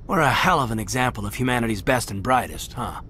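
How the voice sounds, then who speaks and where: An actor voicing an earnest, loyal male soldier in a video game, soft-spoken and sometimes close to a whisper. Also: mid-range voice, animated, quick pace, slight background noise. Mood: reflective. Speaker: soldier serving under the commander. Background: game music.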